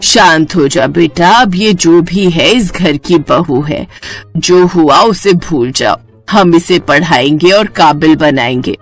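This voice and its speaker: A woman's voice: deep voice, slow, soft background music. A woman voicing a kindly, protective middle-aged mother-in-law with a reassuring manner in a cartoon story. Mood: calming, firm. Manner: soothing, reassuring.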